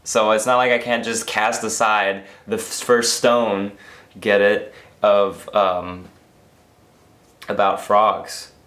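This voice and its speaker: A tired young man vlogging casually, hoarse and losing his voice from being sick, rambling and interrupting himself. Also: fairly low pitch, little pitch movement, takes it slowly, fairly bright tone. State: tired after a long work shift. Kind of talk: casual daily vlog. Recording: echoey room.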